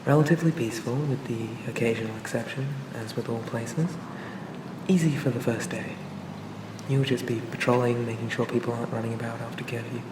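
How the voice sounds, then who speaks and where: Man playing a gentle, even-toned AI assistant. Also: mid-range voice, brisk, roomy sound, distant mic, noisy background, close to an everyday voice. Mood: calm, reassuring. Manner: gentle, hesitant, reassuring, conversational. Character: charming, pleasant male AI personal assistant for a police officer. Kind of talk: soothing ASMR roleplay.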